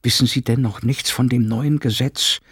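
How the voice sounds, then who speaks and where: A man's voice: medium pitch, steady tone, moderate pace, a bit bright, slightly rough, no room sound, voice pushed up. A man reading aloud, voicing a frightened thirty-eight-year-old housemaid with an urgent, raised manner. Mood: fearful, urgent. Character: anxious housemaid woman aged thirty-eight. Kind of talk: audiobook character voice.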